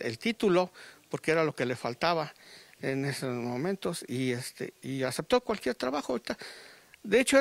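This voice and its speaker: A middle-aged man with a Mexican Spanish accent, a grieving father speaking in a live news interview outdoors at a funeral, halting with many restarts, into a handheld reporter mic. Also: on the high side, gravelly, no echo. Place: outdoors under a tent at a funeral.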